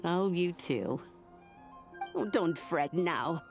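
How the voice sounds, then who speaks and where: A woman's voice: on the low side, expressive, very slow, faint music behind, heavily acted. A woman voicing a kindly, doting old grandmother in a video game. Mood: soothing.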